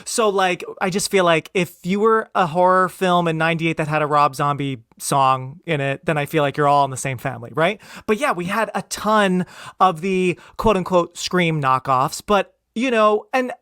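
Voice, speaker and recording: A man's voice: on the high side, moderate pace, a bit bright, clean tone, no room sound, clean studio sound. A man in his thirties from New Jersey with a slight Jersey accent, chatting enthusiastically as a podcast host and saying "like" often. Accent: American, slight New Jersey. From Southern New Jersey, United States. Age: 30s. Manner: chatty, enthusiastic, peppers talk with "like". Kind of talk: casual movie podcast chat.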